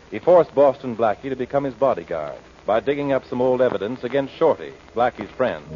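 A man's voice: on the low side, slight background noise. A man narrating a story recap as an announcer in scripted old-time radio style. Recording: muffled old radio broadcast. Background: faint music.

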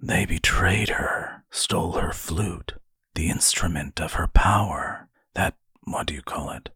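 A man voicing a smaller elven brother in a fantasy audio story, a devout mystic who speaks in a grave, hushed, reverential way. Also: deep voice, measured pace, creaky, slightly rough, dry recording, in a whisper, heavily acted. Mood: solemn reverence.

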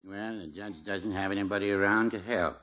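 An actor playing a dry, mild-mannered small-town druggist in a 1950s radio sitcom. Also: male voice, fairly low pitch, fairly even intonation, fairly quick, close to an everyday voice. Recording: muffled 1950s radio recording.

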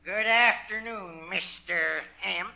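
Huffy, sarcastic small-town chum: high voice, mock politeness, vintage radio sitcom. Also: male voice, takes it slowly, vocal fry, full character voice. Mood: cool, sarcastic. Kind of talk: 1950s radio sitcom acting. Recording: old radio show, audience noise.

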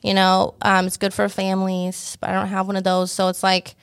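A woman's voice: medium pitch, completely flat delivery, fairly quick, clear, resonant tone, no room sound. Blunt young woman, dry studio sound. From northern Vietnam. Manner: upbeat, blunt, swears casually. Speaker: lash salon owner, guest. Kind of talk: casual podcast chat.

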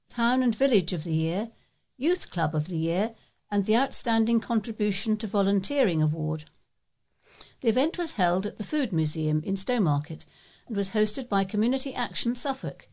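A woman's voice: mid-range voice, darkish tone. A woman reading local news aloud as a volunteer newsreader.

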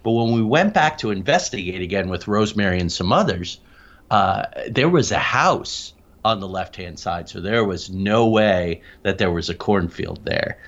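A man's voice: lowish voice, expressive, moderate pace, fairly bright tone, dull audio. A man speaking as an interview guest, recounting his own experiences in conversation. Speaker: interview guest, paranormal researcher.

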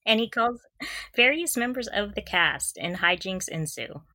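A woman's voice: medium pitch, expressive, unhurried, pushed and bright, dry recording, studio quality. A woman co-hosting a casual fan podcast, bantering in a mock-serious, giggly way. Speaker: podcast co-host.

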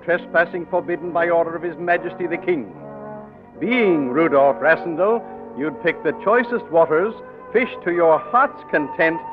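An actor narrating a romantic adventure as a genial, measured storyteller in 1940s radio drama style. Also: male voice, a little high, natural voice. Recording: muffled old radio broadcast, music behind.

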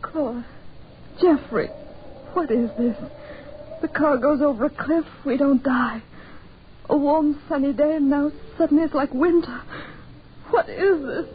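An actress playing an expressive, easily frightened woman traveller in a 1940s radio horror drama, with dramatic, emotional delivery. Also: high voice, unhurried, long pauses. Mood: panicky. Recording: dull, noisy 1940s radio recording.

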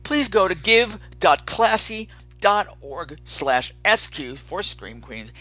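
A man's voice: high-pitched, very animated, slow, bright, forceful tone, no room sound. A man hosting a podcast in a candid, unscripted monologue, dramatic and emphatic, sounding weary and exasperated. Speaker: podcast host.

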